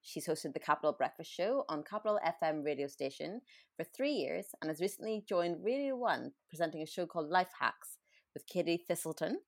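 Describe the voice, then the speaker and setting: A woman from East Africa, co-hosting a podcast, chatty and quick to laugh. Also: fairly low pitch, creaky. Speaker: co-host. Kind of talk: podcast talk.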